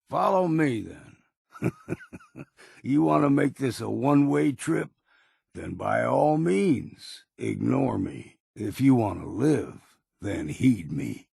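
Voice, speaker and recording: A weathered old hunter from a video game, wary but loyal. His voice is deeply gravelly and raspy, with lively swings in pitch. He talks in a curt, plain, folksy way, recorded very dry and close. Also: dry recording, full character voice. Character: gruff, hard-drinking old male island hunter and guide, wary but loyal. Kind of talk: video game character dialogue.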